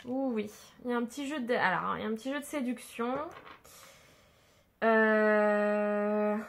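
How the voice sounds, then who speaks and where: A woman with a slightly high voice reads tarot cards in a casual, chatty way. She hesitates often and fills gaps with small sounds. Her nose is stuffed up from a cold. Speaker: tarot card reader. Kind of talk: casual tarot reading to camera.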